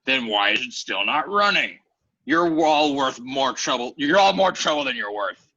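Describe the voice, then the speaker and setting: A man's voice: medium pitch, expressive, moderate pace, pushed and bright. A man playing an irritated, weary mine foreman in a table read over a video call. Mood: exasperated. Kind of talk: table read of fan fiction. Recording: slightly dull video-call audio.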